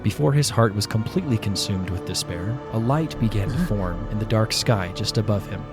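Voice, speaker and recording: A man narrating a scripted fantasy audio drama in a measured storytelling manner. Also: on the low side, lively intonation. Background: background music.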